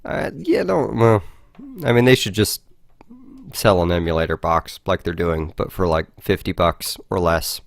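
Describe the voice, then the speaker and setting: A man's voice: deep voice, animated, unhurried, gravelly, no room sound, studio quality. A man co-hosting a podcast in casual chat, rambling and hesitant, with a self-deprecating manner. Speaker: podcast co-host.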